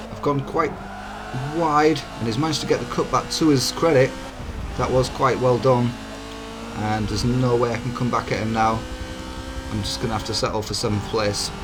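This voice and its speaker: A man with a hoarse, croaky voice, giving easygoing, chatty commentary over his own racing game footage as a channel host. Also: medium pitch. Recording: game engine noise behind. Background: racing car engine sounds.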